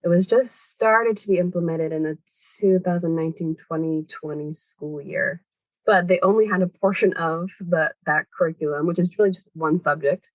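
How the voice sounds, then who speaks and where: A woman's voice: fairly low pitch, normal speed, no echo, highs cut off. A woman guest on a podcast interview, giving long, thoughtful answers full of hedges like "kind of" and "sort of". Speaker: podcast guest, language education organizer. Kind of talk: explanatory conversational interview answers.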